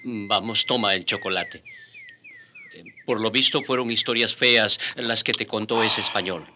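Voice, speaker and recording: An actor playing a caring, loyal companion of a friar in a radio drama, gentle and encouraging. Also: male voice, fairly low pitch, measured pace, slight background noise.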